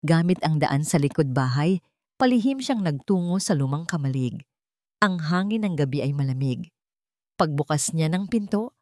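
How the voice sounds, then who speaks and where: A woman with a Filipino accent narrating a scripted story in a dramatic, deliberate storytelling manner. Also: fairly low pitch, darkish tone, no room sound, studio quality.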